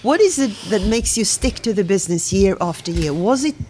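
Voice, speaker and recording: A woman's voice: medium pitch, expressive, brisk, a little mellow, a little hiss or hum. A woman hosting a conversational interview, putting questions to a guest. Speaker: interviewer, podcast host.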